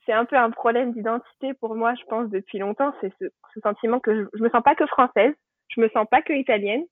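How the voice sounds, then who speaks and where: French woman chatting away about family, kinda high voice, thin phone-line sound, dead dry. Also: fairly quick, no room sound. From France. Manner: chatty, warm storytelling with frequent fillers and restarts. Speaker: guest. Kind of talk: casual podcast conversation. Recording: tinny phone-line audio.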